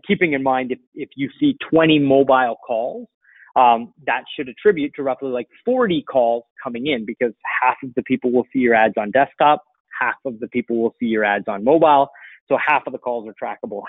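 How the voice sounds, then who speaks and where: A man's voice: medium pitch, a little fast, breathy edge, no room sound. A middle-aged man answering questions informally as a webinar host, explaining things conversationally at his computer. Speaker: webinar host and marketing coach. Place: indoor room, seated at a computer. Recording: dull, dry lo-fi webinar audio.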